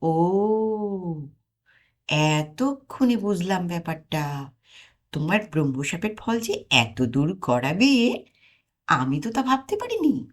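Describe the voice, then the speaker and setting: A doubtful, wry Brahmin scholar played by a woman narrator picks through learned words with care. The speech is slow and lilts up and down, in the manner of a comic storybook. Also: fairly low pitch, very animated, full character voice. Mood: sighing realization. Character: skeptical, cautioning male Brahmin scholar, mildly wry.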